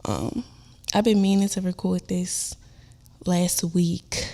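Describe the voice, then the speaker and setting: Young woman with a cold, stuffy nose, low mellow voice, bit of vocal fry, chatty podcast host. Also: steady tone, takes it slowly. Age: young adult. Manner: chatty, warm, lots of fillers like "um" and "like". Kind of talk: casual solo podcast chat. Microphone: on-camera podcast setup.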